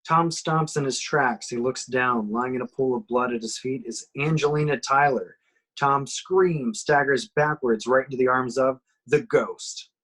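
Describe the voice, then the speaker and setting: A man's voice: mid-range voice, unhurried, fairly bright tone. A man reading out screenplay action as narrator in an amateur horror table reading.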